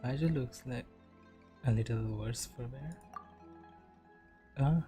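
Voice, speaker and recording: A woman's voice: extremely low pitch, slow pace, warm tone, soft background music. A woman giving short, offhand casual reactions as a game streamer.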